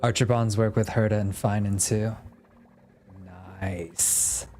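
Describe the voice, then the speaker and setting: A man's voice: on the low side, level tone, slow, darkish tone. Male game streamer chatting casually over faint music. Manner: exclamatory reactions, mutters and reads game text aloud. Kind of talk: casual live gaming commentary.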